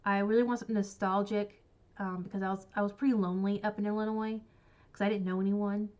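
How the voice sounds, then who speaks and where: A woman's voice: mid-range voice, level tone, fast talker, darkish tone, dull audio. A woman, congested and sniffly from a cold, chatting in a rambling way about books on a livestream. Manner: rambling, chatty, full of likes and ums. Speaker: book channel host. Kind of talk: casual livestream chat.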